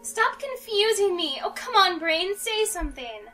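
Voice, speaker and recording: A woman's voice: extremely high pitch, lively intonation, unhurried, sharp tone, distant mic, soft background music. A young woman reading a visual novel game aloud in a playful way, mixed with casual banter. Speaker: let's play host.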